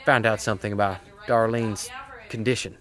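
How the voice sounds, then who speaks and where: An actor playing a serious, determined man in an audio soap opera, measured and earnest. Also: lowish voice, steady tone, fairly bright tone, lightly acted. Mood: grave. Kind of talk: soap-opera radio acting.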